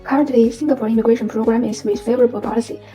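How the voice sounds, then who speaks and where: A woman's voice: a little high, steady tone, very fast, warm tone. A woman hosting an interview, reading a polished, upbeat script. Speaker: interview host. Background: faint background music.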